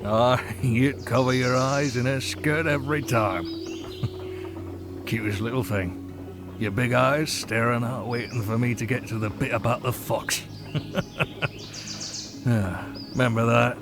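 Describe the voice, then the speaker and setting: A man's voice: mid-range voice, fairly even intonation. A man voicing a tipsy, nostalgic older rabbit in a fantasy visual novel, rambling fondly in a folksy way. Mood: wistful, fond. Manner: slow, rambling, chuckling, folksy. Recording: music behind the voice.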